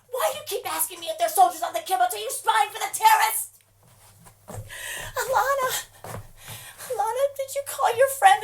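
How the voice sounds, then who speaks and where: A woman's voice: sits high, creaky, recorded from a distance, heavily acted. An actress playing a desperate, frightened woman making phone calls for help, pleading, panicking and at times whispering. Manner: frantic, pleading, shifting to hushed whispers. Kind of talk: dramatic monologue acting.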